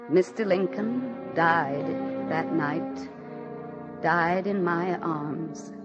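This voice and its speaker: Slow, low-voiced grieving old widow. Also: female voice. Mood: bereft, bitter. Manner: theatrical, emotional, drawn-out phrasing. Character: aging grieving presidential widow, weary, wistful, haunted, fragile. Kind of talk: 1940s radio drama acting and narration. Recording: dull vintage 1940s radio recording.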